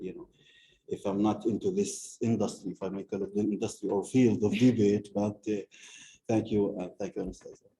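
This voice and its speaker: Very dark-voiced man from Palestine. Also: lowish voice, vocal fry, low-quality recording. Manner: modest, hesitant, many restarts. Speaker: panelist, media studies lecturer. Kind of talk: panel self-introduction.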